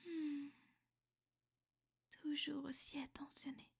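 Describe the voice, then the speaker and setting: A woman playing a centuries-old vampire girlfriend in an ASMR roleplay, soft and intimate, often whispering, refined, tender and possessive. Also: high voice, flat delivery, slow, vocal fry, heavily acted. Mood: hungry, sensual.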